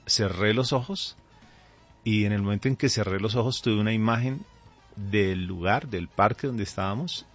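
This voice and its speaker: A middle-aged man with a Colombian accent, speaking as a pastor in a radio interview in a deliberate, earnest way. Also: fairly low pitch. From Colombia, raised there from age two. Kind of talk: radio interview, conversational testimony. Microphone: studio microphone. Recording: dull, band-limited radio audio.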